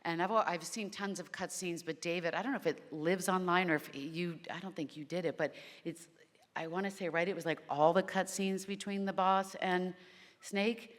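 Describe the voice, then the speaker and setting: A woman voice actor on a convention panel, talking in a rambling, heartfelt way with many restarts and a naturally low voice. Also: steady tone, moderate pace, in a large hall.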